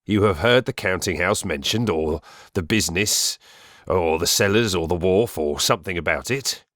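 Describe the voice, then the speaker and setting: A man playing a stern, domineering middle-aged stepfather in a dramatised audiobook, speaking gravely and pompously. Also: deep voice, moderate pace, a bit bright, no room sound, studio quality. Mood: cold, brisk.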